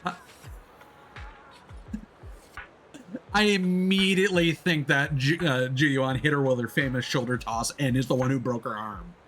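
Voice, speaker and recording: A man live streaming in casual chat, talking in a chatty, hesitant way with trailing pauses. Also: mid-range voice, fairly bright tone, slight background noise. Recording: music playing behind the voice. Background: music.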